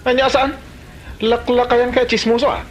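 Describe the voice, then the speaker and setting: A man's voice: very high voice, level tone, moderate pace, a little hiss or hum, rough recording. A man playing a brash, hot-headed grown son who boasts and threatens, in an Ilocano Filipino comedy drama, with an Ilocano accent. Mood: angry, menacing.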